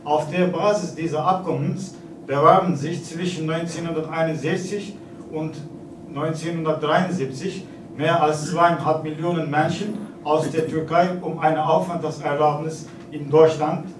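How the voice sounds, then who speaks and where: Redner, Mann Anfang vierzig, türkischer Akzent, leicht hohe Stimme, förmlich und stockend, hallig und entfernt aufgenommen. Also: male voice, a little high, fairly even intonation. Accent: Turkish. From Turkey. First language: Turkish. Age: 40s. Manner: formal, with halting hesitations and fillers. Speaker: consulate representative giving a speech. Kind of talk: formal speech at an exhibition opening. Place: event hall. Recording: echoey hall, distant, noisy.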